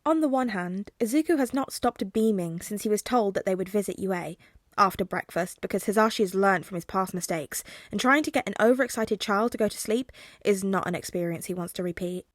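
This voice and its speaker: A woman's voice: mid-range voice, a little fast, dry recording, clean studio sound. A woman reading a scripted story aloud as its narrator. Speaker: podfic narrator.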